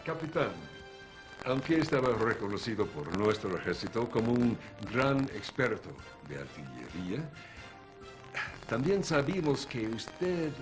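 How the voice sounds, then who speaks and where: A man playing a dignified, older American general who speaks in formal, clipped statements. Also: fairly low pitch. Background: music underneath.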